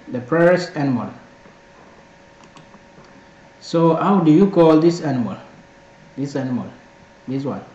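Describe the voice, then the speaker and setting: A man's voice: mid-range voice, dark tone. A man from South Sudan, a native Dinka speaker with a South Sudanese accent, hosts an informal language lesson, repeating words for learners. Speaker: language teacher and channel host. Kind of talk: informal video lesson addressed to viewers. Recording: echoey room, muffled sound, faint noise.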